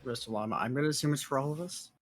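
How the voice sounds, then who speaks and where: A man's voice: mid-range voice, very fast. A man from the American South hosting a casual group Q&A, joking and teasing the others in a brash, playful way.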